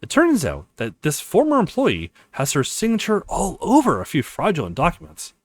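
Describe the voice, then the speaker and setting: A brisk, insistent investigator voiced by a male reader, pressing and persuasive. The pitch varies strongly in this dry reading of visual novel dialogue. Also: huge pitch swings, dry recording.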